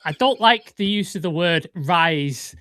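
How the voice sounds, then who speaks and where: Higher-voiced man hosting football talk. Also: expressive, sharp tone, smooth, dry recording, clean studio sound. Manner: chatty, joking, quick interjections. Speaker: podcast host. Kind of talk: casual football podcast chat.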